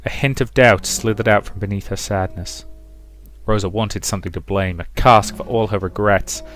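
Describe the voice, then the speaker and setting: Male story narrator for a let's play: low voice, dramatic scripted read, music underneath. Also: fairly even intonation, moderate pace, a bit bright.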